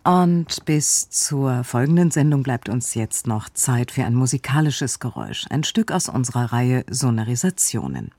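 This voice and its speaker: Radio presenter reading an announcement, mellow and husky, really dry close sound. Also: medium pitch, very animated, moderate pace, hardly any pauses, no room sound. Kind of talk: scripted programme announcement.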